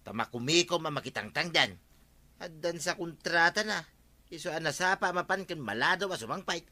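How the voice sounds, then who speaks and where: An actor with an Ilocano accent playing a plain-spoken, grumbling older village farmer in a radio comedy drama. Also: male voice, on the high side, expressive, normal speed, bright, forceful tone, full character voice. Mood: easygoing.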